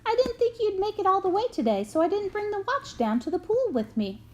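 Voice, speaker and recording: A woman voicing an encouraging but firm female swimming coach in a storybook, brisk and coaxing. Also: very high voice, sing-song, pitched above the performer's own voice. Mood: praising.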